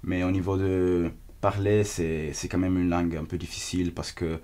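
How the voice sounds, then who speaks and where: Young italian guy, really deep voice, earnest student testimonial, hunts for words. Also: level tone, moderate pace, dark tone. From Italy. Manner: earnest, searching for words in a second language. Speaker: exchange student. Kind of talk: testimonial interview.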